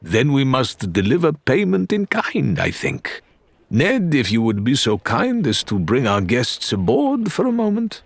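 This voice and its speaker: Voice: medium pitch, wildly swooping pitch, unhurried, creaky, dry recording, voice pushed up, full character voice. Suave, swooping, theatrical pirate. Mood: gleeful. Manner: flamboyant, mock-polite, lilting. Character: Faisal, suave theatrical male pirate crewman, mock-courteous menace.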